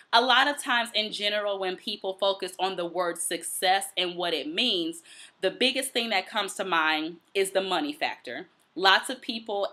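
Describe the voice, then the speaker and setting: An American woman hosts a reflective solo commentary show. Her voice sits a little low for a woman but has a bright, forceful edge. She explains her points deliberately, as if walking a listener through an argument. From Detroit, United States. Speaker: host of a commentary and book review show.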